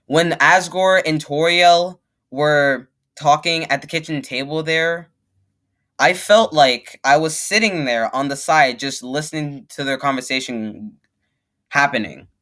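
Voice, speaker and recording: A man's voice: on the high side, unhurried, pushed and bright. A young man hosting a reaction video with casual, rambling commentary, emphatic and repeating himself to make a point. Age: young adult.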